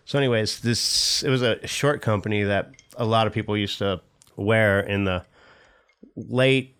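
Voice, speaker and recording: Former skater guest, middle-aged man, resonant lowish voice, loose rambling storyteller. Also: measured pace, fairly bright tone, smooth. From Southern California. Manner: rambling, laid-back, swears, many fillers and laughs. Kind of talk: casual interview chat.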